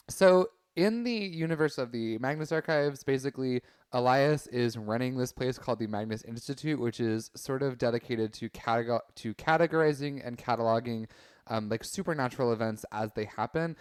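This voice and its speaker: A man of medium pitch chats casually in a smooth, crisp voice. His delivery is campy and full of slang. The recording is dry and free of echo. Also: moderate pace. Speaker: podcast panelist. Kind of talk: casual chat.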